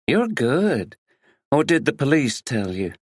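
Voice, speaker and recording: A vain, perky actress with an American drawl, all breezy dismissal, as a male narrator puts her on. Her voice sits well above his own and swings about lively. The sound is close and dry. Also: medium pitch, slow pace, no room sound, voice pushed up.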